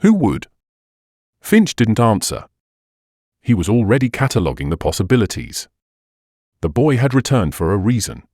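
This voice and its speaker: A male audiobook narrator tells a detective mystery in a measured, dramatic way. His voice sits on the low side and swings widely in intonation. The recording is very dry. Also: sing-song, a little mellow, no room sound.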